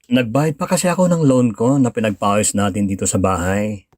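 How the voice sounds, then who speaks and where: An actor playing a weary, put-upon young husband in an audio drama, patient but pleading and fed up. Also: male voice, fairly low pitch, fairly even intonation, a little fast, a little mellow, no echo, studio quality, natural voice. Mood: apologetic, tired. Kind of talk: Tagalog radio-style drama acting.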